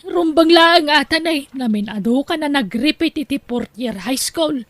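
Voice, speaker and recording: A woman as a high-pitched scolding Ilocano mother. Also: lively intonation, normal speed, a bit bright, dry recording. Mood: grumbling, fond. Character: scolding, nagging Ilocano wife and mother, demanding but doting. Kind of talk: Ilocano radio-style drama acting.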